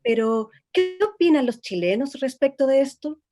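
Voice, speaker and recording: An actress playing a female documentary reporter, reading scripted narration in a measured, informative way. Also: sits high, sing-song.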